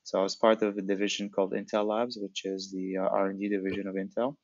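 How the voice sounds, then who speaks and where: Low male voice, slightly muffled recording. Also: little pitch movement, quick pace, a little mellow, no room sound. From Algeria. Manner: informal, frequent filler "like". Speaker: podcast guest, startup founder. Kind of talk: casual conversational interview answers.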